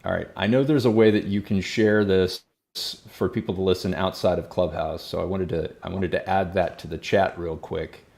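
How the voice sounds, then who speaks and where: Low-voiced man, gravelly fry, podcast host, friendly questions. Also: steady tone, normal speed, darkish tone. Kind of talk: casual chat.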